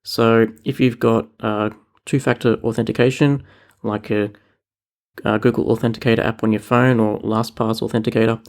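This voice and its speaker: A man hosting a tech podcast, talking casually and chattily with a relaxed manner. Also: on the low side, normal speed, creaky. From New Zealand. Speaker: podcast host.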